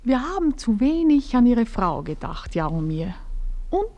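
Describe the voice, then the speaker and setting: A woman playing a composed married woman speaking to an old friend in measured tones in a radio play. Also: on the high side, big pitch swings, mellow, dull audio. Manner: measured, sometimes whispered confidences.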